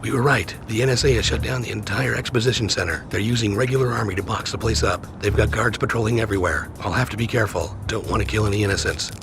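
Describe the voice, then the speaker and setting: A man's voice: lowish voice, fast, creaky, few pauses, background noise. An actor playing a grim male special agent on the run, terse and determined. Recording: game cutscene audio with music.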